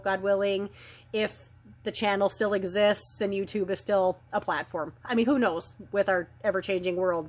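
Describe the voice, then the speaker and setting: A woman with a slight head cold and a raw, gravelly throat, giving a soft, unhurried daily oracle card reading full of ums and trailing phrases. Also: medium pitch. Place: indoor filming setup lit by ring lights.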